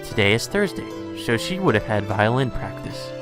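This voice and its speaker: Man voicing a quiet, formal young maid. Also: on the low side, very animated, moderate pace, over music. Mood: polite, subdued. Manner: formal, deferential. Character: polite soft-spoken young maid.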